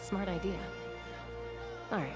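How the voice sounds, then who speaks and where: A woman's voice: medium pitch, measured pace, music in the background, close to an everyday voice. A woman voicing a serious, pragmatic, cool-headed female warrior in video game acting style. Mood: focused.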